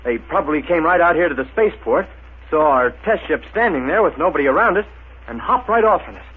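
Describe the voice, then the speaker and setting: A man's voice: a little high, animated, brisk, lightly acted. An actor playing a decisive, hearty young male space captain, plain-spoken and emphatic, in a 1930s radio serial. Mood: decisive, keen. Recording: muffled, noisy old 1930s radio.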